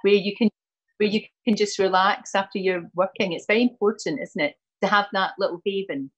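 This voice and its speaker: A Scottish woman hosting a morning TV interview, effusive and quick to repeat her words. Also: mid-range voice, husky, dull audio. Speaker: morning TV host. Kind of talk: TV interview chat.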